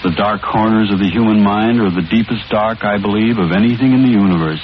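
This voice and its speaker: An actor playing a courteous, ominous master of radio horror in measured speech on an old broadcast. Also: male voice, lowish voice, highs cut off, a little hiss or hum, lightly acted. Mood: brooding. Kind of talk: 1940s radio horror show.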